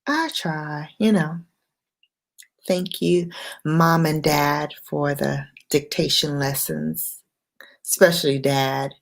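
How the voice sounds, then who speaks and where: A woman hosting an impromptu late-night livestream, speaking in an unhurried, reflective way in short, paused phrases. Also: low voice, fairly even intonation, gravelly. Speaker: livestream host.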